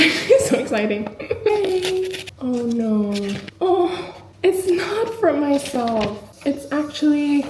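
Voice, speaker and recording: A woman's voice: sits high, mellow, roomy sound, slight background noise, poor audio. A woman in her twenties talking in a reflective, confiding, chatty way in a personal diary vlog. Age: 20s. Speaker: vlogger.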